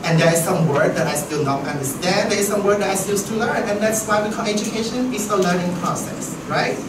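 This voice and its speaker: A man's voice: sits high, a little fast. A man from Southeast Asia, for whom English is not his first language, leading a teacher workshop in a chatty way that keeps asking "right?". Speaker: teacher trainer. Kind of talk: workshop discussion. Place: classroom. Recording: echoey room, far mic, noisy.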